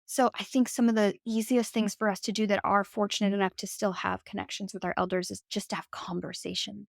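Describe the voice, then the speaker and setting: A woman's voice: mid-range voice, level tone, a little fast, creaky, no echo, studio quality. A woman speaking as a podcast guest, talking in a reflective way with lots of "like" and "right?". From United States. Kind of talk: conversational interview.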